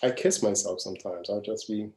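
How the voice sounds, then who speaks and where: A man's voice: lowish voice, fairly quick, a little mellow. Earnest mid-thirties man, echoey room. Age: 30s. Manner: earnest, casual, repeats phrases to stress them. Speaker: wellness coach. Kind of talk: live masterclass talk.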